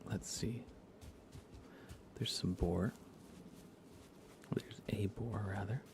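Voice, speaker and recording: A man speaking softly and unhurriedly, often half-whispering, as he rambles through a live ASMR gaming stream. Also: on the low side, fairly even intonation, extremely slow, gravelly, background noise. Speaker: livestream host. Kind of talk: soft-spoken ASMR game livestream chat.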